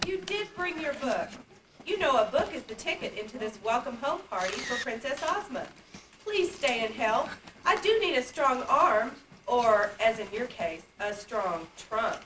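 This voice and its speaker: A young princess in a stage puppet play voiced by a woman speaks in a high, eager, sweetly coaxing voice. She is polite and earnest, projecting to the room. The amateur recording is echoey and noisy. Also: expressive, moderate pace, recorded from a distance, close to an everyday voice. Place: indoor convention stage, distant camera.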